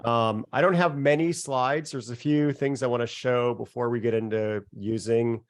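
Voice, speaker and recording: A man getting over a cold, with a nasal voice, giving a relaxed, conversational workshop presentation over a video call. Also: mid-range voice, moderate pace, dry recording, studio quality. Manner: relaxed and conversational, chuckles at himself. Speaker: software founder presenting a workshop. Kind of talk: casual live webinar presentation.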